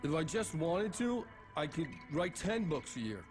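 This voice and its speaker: A proud, wounded writer who has had too much to drink. His slightly high voice is insistent and drunken, in video game cutscene style, with music behind it. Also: big pitch swings, unhurried. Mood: boastful, stubborn.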